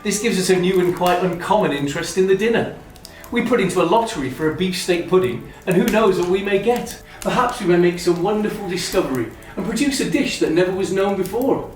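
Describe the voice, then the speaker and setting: Male actor as hopeful, teasing Victorian brother, formal and wordy, lightly high voice, recorded far off. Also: lively intonation, fairly quick, hardly any pauses. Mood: fond, amused. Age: 30s. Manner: formal, wordy, earnest phrasing. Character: kindly, teasing, hopeful brother in his thirties, Victorian gentleman. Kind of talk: stage-style literary radio drama acting. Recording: very echoey, distant, music behind.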